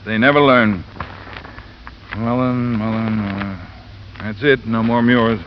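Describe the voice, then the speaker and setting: An actor playing a gruff, sceptical, unhurried police sergeant in 1950s radio detective drama style, matter-of-fact and muttering. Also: male voice, fairly low pitch, steady tone. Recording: muffled, noisy vintage radio recording.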